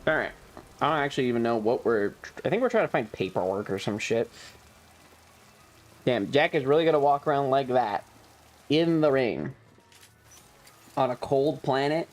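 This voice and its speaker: Crude, animated game streamer with a mid-range voice. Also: medium pitch, normal speed, long pauses. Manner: crude, sarcastic, playful swearing. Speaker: streamer, host. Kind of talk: casual game stream commentary. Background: faint game music.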